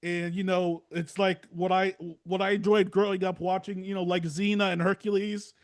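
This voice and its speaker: A man hosting a podcast interview in a casual, rambling way, halting and enthusiastic as he works out his thoughts. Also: sits high, flat delivery. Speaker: podcast host.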